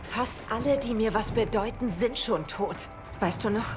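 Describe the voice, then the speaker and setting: A woman's voice: on the high side, normal speed, loud music behind the voice, lightly acted. A woman playing a tough, stubborn young fighter in a video game, blunt and determined. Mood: grim, defiant. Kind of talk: video game voice acting, German dub. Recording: loud game noise behind.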